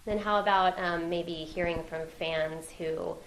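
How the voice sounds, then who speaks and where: A woman interviewer asking hesitant, gentle questions and murmuring encouragement. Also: fairly low pitch, steady tone, measured pace, slight background noise, poor audio.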